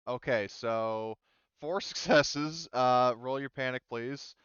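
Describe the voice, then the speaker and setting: Clear-voiced man, dry slightly muffled sound. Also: medium pitch, slow, fairly bright tone, no room sound. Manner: casual, sweary. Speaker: game master. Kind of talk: running an online tabletop roleplaying game.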